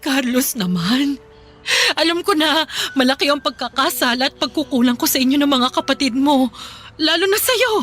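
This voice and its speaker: An actress playing a desperate, remorseful middle-aged mother who pleads haltingly in a radio drama. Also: high-pitched, fairly bright tone. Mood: desperate begging. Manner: pleading, halting with repeated phrases. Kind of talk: Filipino radio drama acting.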